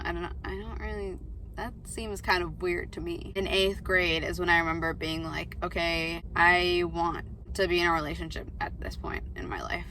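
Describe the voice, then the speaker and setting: A woman's voice: on the low side, a bit bright, a little hiss or hum, low-quality recording. An eighteen-year-old woman chatting in a rambling vlog from her parked car, filling her talk with "like" and quotes of herself. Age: late teens. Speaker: vlogger. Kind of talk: casual talking-to-camera vlog. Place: parked car, outside a coffee shop.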